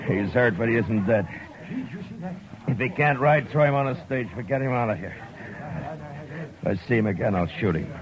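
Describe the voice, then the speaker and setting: An actor playing a steady frontier marshal who talks firmly and commandingly, on an old radio transcription. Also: male voice, medium pitch, moderate pace, long pauses, muffled recording, a crowd in the background, natural voice. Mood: stern. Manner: firm and commanding, gruff warmth with friend.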